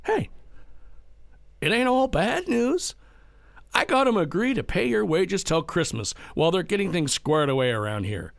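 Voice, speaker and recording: An actor playing a defensive, stubborn ranch heir in an audio drama, with a halting, folksy manner. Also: male voice, sits high, sing-song, gravelly, no echo, heavily acted. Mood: awkward, placating. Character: defensive, stubborn ranch heir taking over from his father. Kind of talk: naturalistic full-cast audio drama acting.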